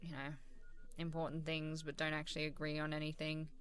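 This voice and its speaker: Low-voiced supportive female boss, acted by a woman. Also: completely flat delivery, a little fast, a bit bright. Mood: supportive, casual. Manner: encouraging, casual, chuckling hesitantly between phrases. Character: supportive, warm, easygoing female boss giving a praising review. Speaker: ASMR role-play voice actor. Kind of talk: ASMR role-play, softly conversational one-sided dialogue.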